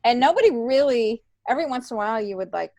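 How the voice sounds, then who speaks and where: A woman's voice: on the high side, lively intonation, fast, a little mellow, no room sound. Middle-aged American woman, dry close sound. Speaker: podcast guest, stand-up comedian. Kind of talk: casual conversational storytelling.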